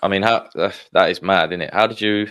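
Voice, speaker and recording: A man hosting a casual interview, prompting his guest with friendly questions and quick affirmations. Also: sits low, fairly bright tone. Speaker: podcast host.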